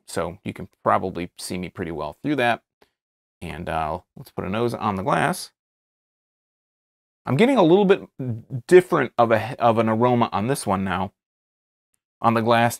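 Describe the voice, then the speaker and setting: Man in his thirties, American accent, gravelly fry, casual reviewer, halting and repetitive phrasing, dry close recording. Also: medium pitch, dry recording. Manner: halting, repeats and rephrases as he describes. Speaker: beer reviewer, video host. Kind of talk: casual unscripted review to camera. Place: small indoor studio, seated at a table.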